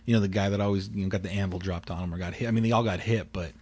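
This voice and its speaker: Big guy with a quiet husky voice, kinda low, rambling podcast chat w/ lots of swearing. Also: rapid-fire. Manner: quiet, calm delivery; rambling with frequent restarts and profanity. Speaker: podcast co-host. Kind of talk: casual chat.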